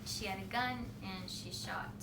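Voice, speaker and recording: A woman's voice: mid-range voice, a little slow, far from the mic. A young woman giving a presentation speech, earnest and reading from notes with small slips. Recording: echoey room, voice from off the mic, noisy.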